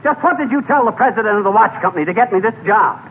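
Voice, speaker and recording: A scratchy, thin 1940s radio comedy recording of a hapless, blustering small-town husband. His voice is high and swings freely as he grumbles in folksy slang. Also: lively intonation, very fast, phone-quality sound. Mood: indignant.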